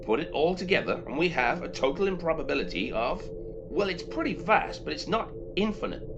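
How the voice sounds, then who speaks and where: A man's voice: a little high, big pitch swings, a little fast. A man playing a cocky, impatient spaceship rogue in an amateur audio drama, swaggering, slangy and easily exasperated. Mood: brisk, intent. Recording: dull lo-fi audio, background noise.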